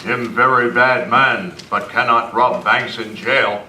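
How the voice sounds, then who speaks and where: A man's voice: medium pitch, takes it slowly, sharp tone, distant mic. Guy doing loyal western sidekick, clipped broken sentences, old radio style, noisy echoey room. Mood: stoic. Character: loyal, terse Native companion of a masked cowboy.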